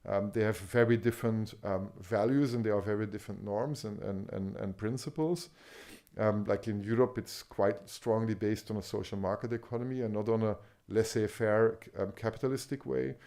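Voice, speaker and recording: Hesitant Belgian-born man, dark low voice. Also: normal speed. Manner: hesitant, many ums and restarts. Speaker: speaker on medical AI. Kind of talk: conference talk.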